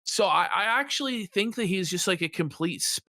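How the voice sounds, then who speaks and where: Casting: animated male co-host, mid-pitched, scornful and sweary, reacting casually in a dry space. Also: brisk, dry recording. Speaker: show co-host. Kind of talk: casual profane reaction commentary.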